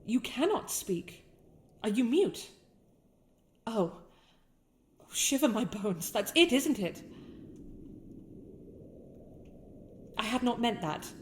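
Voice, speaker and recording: A woman's voice: fairly high pitch, sing-song, takes it slowly, long pauses, slight background noise. A woman with a British accent playing a haughty young winter princess in a scripted ASMR roleplay, speaking in formal, courtly phrases. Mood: remorseful. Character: haughty sharp-tongued young winter princess with a softer, regretful side.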